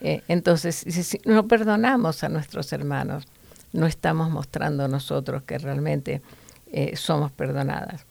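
A woman gives an earnest Bible teaching, stopping often to restart a phrase. Her voice is low and gravelly. The sound is close and dry. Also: unhurried, darkish tone, no room sound. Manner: earnest, preachy, with fillers and restarts.

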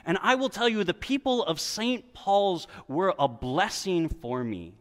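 A man preaching a sermon as a pastor, telling stories in an animated, conversational manner. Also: sits high, unhurried. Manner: animated, conversational, acts out dialogue in quotes. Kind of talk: storytelling sermon. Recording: reverberant hall, church-like echo.

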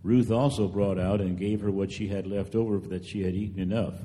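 A man narrating a scripted dramatic scripture reading with measured storytelling delivery. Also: lowish voice, fairly even intonation, mellow. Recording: long hall reverb.

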